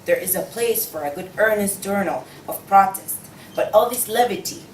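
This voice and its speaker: A woman's voice: medium pitch, moderate pace, natural voice. Solemn, earnest woman in an echoey room, acted. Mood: earnest, admonishing. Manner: solemn, lecturing. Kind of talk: student table read of a stage play. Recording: distant echoey room, faint noise.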